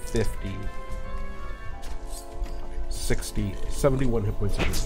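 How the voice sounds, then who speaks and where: A man's voice: on the low side, slow. A man playing a tabletop game, explaining his moves and numbers in casual, unscripted talk. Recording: music playing behind the voice. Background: music.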